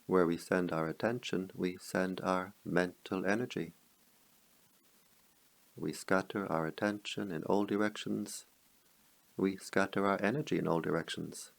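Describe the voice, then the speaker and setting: A man guiding a meditation as a teacher, speaking calmly in measured short phrases with long pauses. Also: low voice, vocal fry. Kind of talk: guided meditation.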